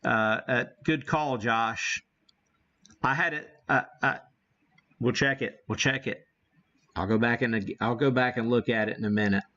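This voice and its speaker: An American man hosting a casual live demo, thinking aloud in a folksy way, on muffled low-fidelity stream audio. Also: fairly even intonation, measured pace, pushed and bright. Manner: folksy, mild homespun exclamations. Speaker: livestream host demonstrating ham radio gear.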